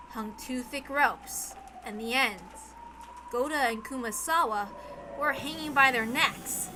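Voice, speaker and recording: A woman reading a dramatic story aloud as narrator, expressive, theatrical and deliberate. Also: a little high, noisy background. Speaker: reader of a visual novel. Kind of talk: dramatic scripted narration with acted dialogue. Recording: background music under the reading. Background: music.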